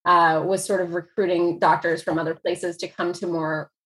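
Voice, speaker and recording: A woman's voice: lowish voice, flat delivery, normal speed, fairly bright tone. Chatty American woman who laughs often. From United States. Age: 40s. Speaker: author guest. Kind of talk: casual conversational interview.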